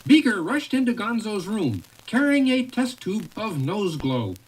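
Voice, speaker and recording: A woman's voice: medium pitch, sing-song, unhurried. A woman reading a children's storybook aloud in a playful way, with chatty asides to the child turning the pages. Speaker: storybook reader. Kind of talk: read-along story narration with casual asides. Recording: noisy home recording.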